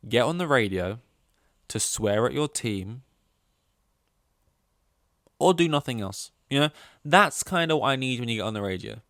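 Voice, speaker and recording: A man's voice: medium pitch, lively intonation, moderate pace, long pauses, fairly bright tone, no room sound, clean studio sound. A man hosting a podcast, giving casual, opinionated sports rankings in a rambling, sweary and sarcastic way. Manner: rambling, sweary, sarcastic, acts out mock quotes. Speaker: podcast host.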